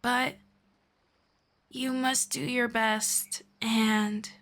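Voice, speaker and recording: A gentle, ageless elf woman, voiced by a woman in an ASMR roleplay, patient and kind as she teaches. Her voice sits a little high and unhurried, each phrase drawn out slowly. Now and then it sinks into a hushed whisper. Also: little pitch movement. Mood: gentle, soothing. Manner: soft-spoken, slow and patient, drifting into whispers. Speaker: voice actor.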